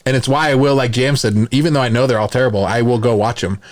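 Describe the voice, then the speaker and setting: A man's voice: medium pitch, steady tone, fast talker. A man hosting a podcast, chatting casually about films in an enthusiastic, rambling way. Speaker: podcast host.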